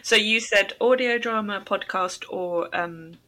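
A woman's voice: mid-range voice, a little slow, sharp tone. A woman hosting an English-learning podcast, chatting with a guest in an encouraging, conversational way. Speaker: podcast host and English teacher.

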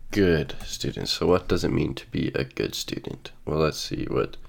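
Stuffy deep-voiced man hosting a podcast. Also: sing-song, moderate pace, creaky, slight background noise. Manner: musing, reads definitions aloud with many fillers. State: stuffy and sniffly from a cold. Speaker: podcast host. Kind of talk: solo podcast monologue. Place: sitting at home with coffee.

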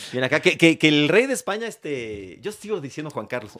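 Animated fast-talking Mexico City man. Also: on the high side. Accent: Mexico City Spanish. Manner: animated, quick, joking. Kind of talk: casual football chat.

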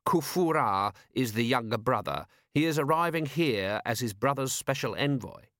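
A man's voice: medium pitch, animated, normal speed, fairly bright tone, no echo, studio quality, natural voice. A man voicing a shrewd, coolly commanding city ruler in an audiobook, precise, formal and sardonic. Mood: formal, correcting. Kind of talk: audiobook character voice.

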